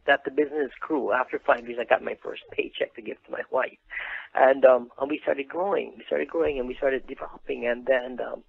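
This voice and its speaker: A man's voice: medium pitch, vocal fry, slightly rough, no echo. A middle-aged man with a Guyanese accent telling his story conversationally as an interview guest over a phone call. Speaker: interview guest, print business owner. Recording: tinny phone line.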